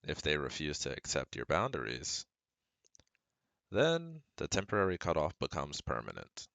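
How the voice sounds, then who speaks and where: A man's voice: extremely low pitch, huge pitch swings, normal speed, vocal fry, a bit bright, dry recording, heavily acted. A man playing a caring, protective best friend who gives firm, earnest advice straight to the listener in an ASMR roleplay. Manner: soothing, earnest, instructive, speaking directly to listener.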